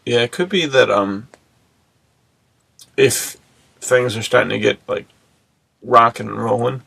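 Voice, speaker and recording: A man's voice: mid-range voice, unhurried, vocal fry, a bit bright, breathy edge, no room sound, rough recording. A man co-hosting a podcast in casual chat, talking in laid-back bro slang. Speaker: podcast co-host.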